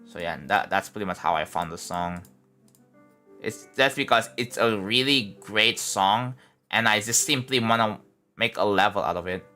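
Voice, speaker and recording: A man's voice: fairly low pitch, normal speed, bright, forceful tone, soft background music. A young man chatting casually on a live stream at home, rambling and laid-back, saying like and bro a lot. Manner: laid-back, rambling, many likes and bros. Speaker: livestreamer building a game level.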